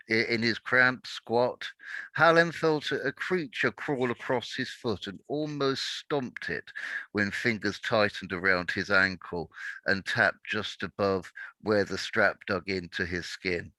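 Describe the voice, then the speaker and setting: A man reading a dark adventure story aloud in dramatic narration, now and then stumbling over words and correcting himself. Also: fairly low pitch, no echo, poor audio.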